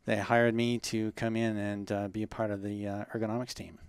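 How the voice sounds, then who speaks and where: A man in his sixties from Ontario, answering interview questions in a measured, hesitant way with many ums. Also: on the low side, level tone, clean tone, no echo. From Ontario, Canada. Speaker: guest, ergonomist.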